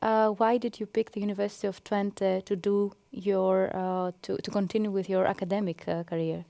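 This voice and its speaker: A woman's voice: mid-range voice, level tone, normal speed, gravelly, mellow, no room sound. A woman hosting an academic interview, asking short friendly questions. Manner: friendly, brief prompting questions. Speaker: interview host.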